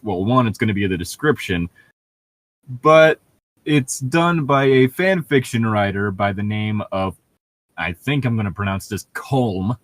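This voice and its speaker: A man's voice: medium pitch, a bit bright, dry recording. A man hosting a casual comedy read-along, bantering and reading aloud in a wry, joking, conversational way. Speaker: podcast host reading fan fiction aloud.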